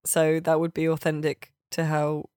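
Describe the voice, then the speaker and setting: A woman's voice: low-pitched, completely flat delivery, moderate pace, a little mellow, clear, resonant tone, no room sound, studio quality. A woman chatting casually and jokily about video games as a podcast co-host. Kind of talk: casual, jokey video game chat.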